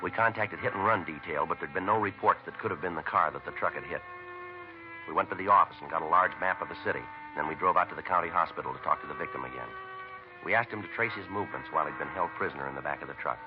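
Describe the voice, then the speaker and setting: Male actor as stoic American cop narrator, very low voice, quick matter-of-fact delivery, vintage radio crime drama. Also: natural voice. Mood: flat, businesslike. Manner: deadpan, terse, matter-of-fact. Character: stoic, businesslike male police sergeant narrating a case. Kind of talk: 1950s radio police drama, clipped scripted narration. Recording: dull vintage radio recording with music.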